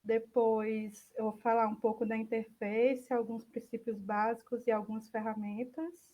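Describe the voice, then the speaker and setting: A woman's voice: fairly high pitch, little pitch movement, moderate pace, lo-fi sound. A woman with a Brazilian accent giving an informal online talk in a tentative, self-correcting way. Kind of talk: informal presentation. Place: indoors on an online video call.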